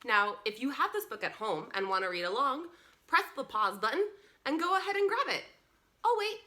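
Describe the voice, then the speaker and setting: Bright, animated woman reading to children. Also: sits high. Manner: expressive, animated, pausing for encouraging asides to young listeners. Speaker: children's storytime presenter reading a picture book aloud. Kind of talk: read-along storytelling for young children.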